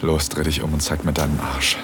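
A very deep-voiced, coaxing husband. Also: male voice, level tone, brisk, loud music behind the voice. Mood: aroused, commanding. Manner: low, coaxing, commanding. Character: assured, tender husband taking the lead. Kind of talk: intimate erotic audio drama acting.

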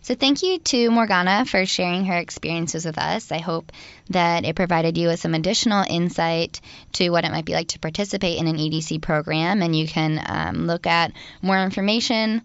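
A woman hosting a podcast, relaxed and chatty, reading introductions and thanking guests. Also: fairly low pitch, normal speed, no room sound. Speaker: podcast host. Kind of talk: casual podcast chat and scripted intros. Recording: dry, dull lo-fi audio.

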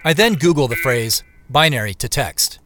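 A man playing a reflective, determined amateur investigator, narrating an audio drama in the first person in a deliberate, questioning manner. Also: mid-range voice, animated, music in the background, natural voice. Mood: focused, determined. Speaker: lead actor and narrator.

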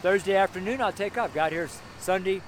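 High-voiced man in his seventies, street preaching. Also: fairly quick. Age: 70s. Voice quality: strong, carrying voice. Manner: rambling, exclamatory, repeats phrases for emphasis. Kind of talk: informal extemporaneous street sermon. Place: outdoors on a city street among buildings. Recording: outdoor street noise, traffic ambience.

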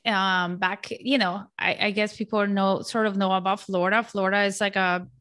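An outgoing woman in her forties from Colombia, chatting as an interview guest. She talks at an ordinary middle pitch, full of fillers and quick restarts. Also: steady tone, fairly bright tone, clean tone. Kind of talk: casual interview chat.

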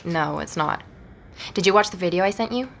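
An actress playing a curious, self-assured investigator in a naturalistic audio drama. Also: female voice, on the low side, a little fast, creaky, fairly bright tone, a little hiss or hum. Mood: engaged, explaining. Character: curious, self-assured female investigator reporting her findings.

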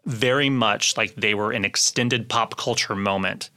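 A man's voice: on the low side, measured pace, bright, forceful tone. A man co-hosting a podcast in a casual, explanatory way, often hedging as he talks. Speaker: podcast co-host.

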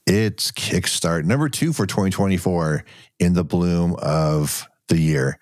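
Congested deep-voiced man podcasting. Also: unhurried, darkish tone, dry recording, clean studio sound. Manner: loose and profane, laughs often. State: congested, coughing. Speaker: podcast co-host. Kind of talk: casual chat.

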